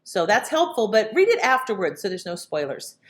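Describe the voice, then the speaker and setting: A woman's voice: mid-range voice, very animated, fairly quick. A woman host giving a chatty, rambling introduction with fillers. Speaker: podcast host. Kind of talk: casual chat introduction.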